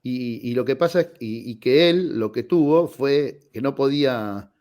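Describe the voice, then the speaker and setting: A man in his forties with an Argentine accent, a guest telling personal anecdotes in an expressive, conversational radio interview style. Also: mid-range voice, unhurried. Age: 40s. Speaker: guest, tenor singer.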